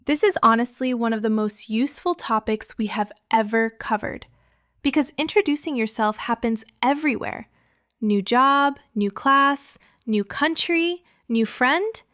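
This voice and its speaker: Girl cohosting an english podcast, high voice, takes it slow, dead dry mic. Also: expressive, a bit bright, dry recording. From California. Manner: slow, clear teacherly delivery, laughs often. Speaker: podcast co-host. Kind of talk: scripted English-learning podcast chat.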